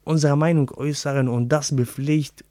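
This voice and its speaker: A man with an Arabic accent, a native Arabic speaker, answering interview questions in halting, word-searching German. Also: fairly high pitch, fairly even intonation, warm tone, no room sound, studio quality. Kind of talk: casual interview.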